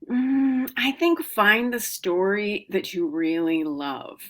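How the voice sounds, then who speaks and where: A woman's voice: mid-range voice, expressive, slow. A middle-aged woman novelist answering interview questions in a casual, chatty way, with many fillers and restarts. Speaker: novelist guest.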